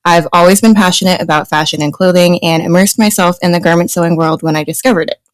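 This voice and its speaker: Podcast co-host, young woman from New Jersey, friendly and loose, low-ish pitch, dry recording. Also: fairly even intonation, quick pace, no room sound. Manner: friendly, reads intro script then chats with fillers. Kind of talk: casual podcast chat.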